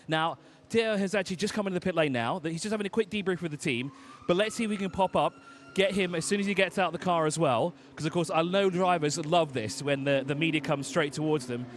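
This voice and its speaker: A man reporting live from an outdoor race pit lane, chatty and quick with joking asides. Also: a little high, slight background noise. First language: English.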